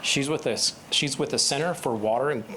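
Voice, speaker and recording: A man introduces a panel at a conference with loose phrasing and frequent fillers. His voice is of middle pitch. Faint room noise sits behind him. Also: fairly quick, pushed and bright, low-quality recording. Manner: loose, searching for words, many fillers. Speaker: event host introducing a panel.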